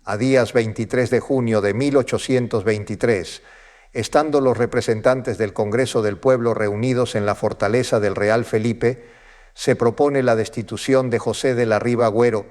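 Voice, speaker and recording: A man acting as a congressional chairman in a historical audio drama. He is formal and authoritative, declaring business to the chamber in a medium-pitched male voice. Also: steady tone, moderate pace, darkish tone, lightly acted. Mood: formal, procedural. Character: formal male congress speaker presiding over a tense session.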